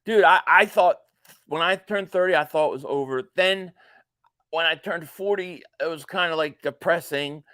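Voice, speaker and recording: A man in his late forties with a notably high voice, chatting loosely through a live stream and drifting into jokey asides between viewer comments. The sound is dry and close, with no room around it. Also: moderate pace, bright, forceful tone, no echo. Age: 40s. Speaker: live stream host reacting to a football game. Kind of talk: casual live stream chat, reading viewer comments aloud.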